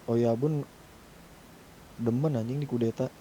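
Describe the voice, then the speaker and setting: Man, very dark mellow voice, casual teasing interjections, faint noise behind. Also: steady tone, unhurried. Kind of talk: casual joking gamer banter. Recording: faintly noisy game voice chat, low rumble.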